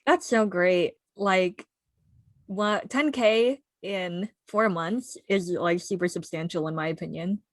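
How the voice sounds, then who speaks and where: A woman's voice: lowish voice, slow, no echo, studio quality. A woman in her twenties with an American accent, co-hosting a podcast in an informal, upbeat, chatty way. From United States. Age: 20s. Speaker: podcast co-host. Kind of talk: casual chat.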